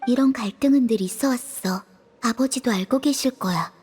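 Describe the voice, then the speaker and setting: Calm, soft, high-voiced teenage sister. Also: female voice, moderate pace, slightly rough. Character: calm, impassive teenage sister, coolly reassuring. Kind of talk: anime-style game voice acting.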